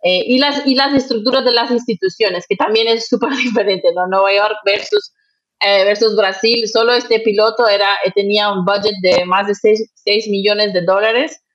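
A woman's voice: mid-range voice, steady tone, moderate pace, muffled recording. A woman with a Brazilian accent and Portuguese as her first language, answering interview questions conversationally, thinking aloud with frequent tag questions. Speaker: interview guest, nonprofit executive director.

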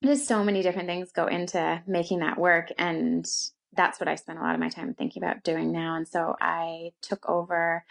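A woman with a Canadian accent speaks as a guest in a conversational interview. Her pitch sits a little on the low side. She tells personal stories in an everyday, unscripted way. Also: level tone, normal speed, vocal fry. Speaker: interview guest, co-working space founder.

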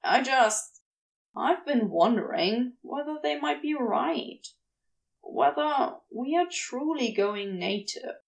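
A woman's voice: high-pitched, expressive, slow, creaky, exaggerated voice, rough recording. A woman voicing a sardonic, grumbling male demon in an audio story, blunt and dry but devoted underneath. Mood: exasperated, devoted. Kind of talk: fan fiction audio reading, acted dialogue.

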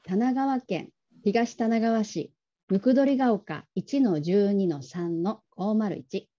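A woman's voice: mid-range voice, takes it slowly, mellow. A woman playing a young adult daughter who casually teases and wheedles her father in a radio drama. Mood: breezy. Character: coaxing, teasing grown daughter pleading with her father. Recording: dull lo-fi audio.

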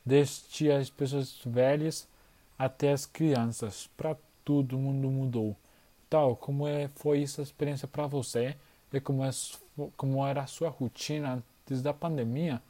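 Slow host. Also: medium pitch, fairly even intonation, dark tone. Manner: slow, repeats thanks and questions. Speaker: podcast host. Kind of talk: staged interview podcast.

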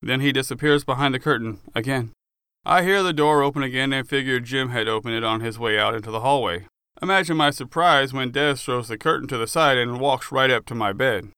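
A man's voice: mid-range voice, brisk, pushed and bright, smooth, dry recording, clean studio sound. A man reading first-person fiction as an author-narrator, with dramatic storytelling delivery. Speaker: author narrating own audiobook.

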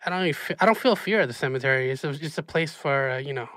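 A man's voice: fairly high pitch, lively intonation, very fast, fairly bright tone, no room sound. A man hosting a casual podcast chat, laid-back and laughing often, with lots of fillers. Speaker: podcast host.

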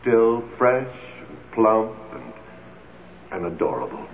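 An actor playing the ghost of a two-timing man, a pleading, smooth-talking seducer, in 1970s radio drama style. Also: lowish voice, sing-song, slow. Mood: wooing. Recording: muffled, noisy 1970s radio recording.

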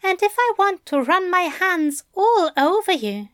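A woman's voice: very high-pitched, big pitch swings, a little slow, dry recording, studio quality, voice pushed up, full character voice. A woman voicing a tender, teasing male angel lover in an audiobook, coaxing and fussily polite with endearments. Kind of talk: fan fiction audiobook character voice.

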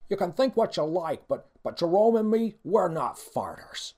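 A man voicing a scruffy, earnest freed prisoner in a storybook, husky, stammering and folksy. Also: high voice, very animated, normal speed, warm tone, pitched above the performer's own voice, exaggerated voice. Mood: defensive, earnest.

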